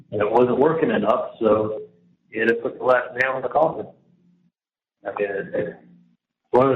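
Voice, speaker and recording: A man talking casually and at length on a jail phone call, emphatic and repetitive, often trailing off mid-sentence. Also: mid-range voice. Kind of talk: casual, rambling private phone conversation. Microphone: telephone handset. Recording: muffled, noisy, echoey jail phone line.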